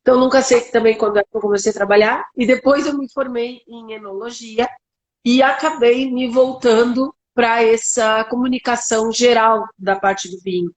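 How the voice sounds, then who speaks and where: Woman, southern brazilian accent, interview guest, lively, laughs readily, fairly high voice. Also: normal speed, low-quality recording. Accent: Southern Brazilian (Gaúcho) Portuguese. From Serra Gaúcha, southern Brazil. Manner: lively, laughs easily, self-deprecating. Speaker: guest winemaker. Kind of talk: conversational interview.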